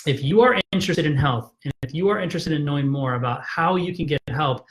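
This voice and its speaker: A man speaks in a persuasive, emphatic way, often tagging his points with "right?" and restarting his sentences. His voice sits slightly higher than most men's. He sounds frustrated as he urges his viewers in a live video talk. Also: quick pace. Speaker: chiropractor hosting a health video.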